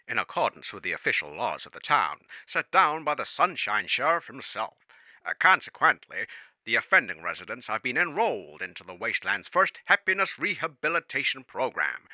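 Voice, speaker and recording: A man's voice: medium pitch, bright, forceful tone, dry recording. A man playing a smug, officious town spokesman with veiled menace, reading a formal announcement in a radio comedy. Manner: officious, formal, hesitant asides.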